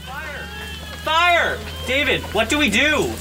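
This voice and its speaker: Panicked cult follower played by an actor, high urgent voice, radio play, loud music and crowd din. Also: big pitch swings, takes it slowly, exaggerated voice. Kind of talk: dramatic radio play acting.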